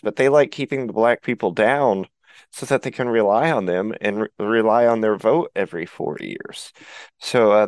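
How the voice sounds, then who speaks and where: Low-voiced opinionated male co-host. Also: dry recording. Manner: opinionated, frequent uh fillers. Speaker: podcast co-host. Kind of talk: political talk show commentary.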